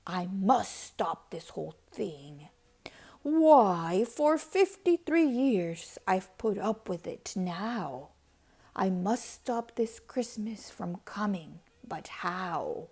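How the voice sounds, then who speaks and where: Woman as a sour male storybook creature, scheming, sneering, breathy snarl, very slow delivery. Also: medium pitch, very animated, a little mellow, exaggerated voice. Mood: exasperated, scheming.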